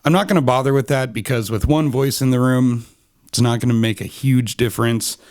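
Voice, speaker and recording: A man's voice: medium pitch, brisk, no echo. A man in his thirties casually reviewing microphones in a small home studio, talking close into a condenser mic with lots of ums and restarts. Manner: chatty, relaxed, many ums and restarts. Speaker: podcast gear reviewer. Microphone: studio condenser on boom arm, close, no pop shield.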